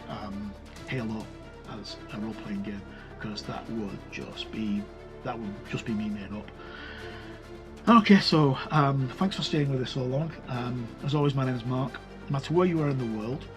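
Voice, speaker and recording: A man hosting a game review, talking casually and unscripted, rambling and hesitant as he pages through a book. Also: mid-range voice. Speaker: host of a tabletop game review channel.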